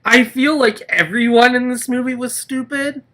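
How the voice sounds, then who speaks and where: A man's voice: very high voice, fairly even intonation, moderate pace, sharp tone. A man co-hosting a casual movie-review chat in a jokey, giggly manner. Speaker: podcast co-host.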